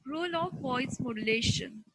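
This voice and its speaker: A woman with an Indian accent giving a scripted lesson as an instructor, speaking deliberately in short, halting chunks. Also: high-pitched, lively intonation, no room sound, low-quality recording.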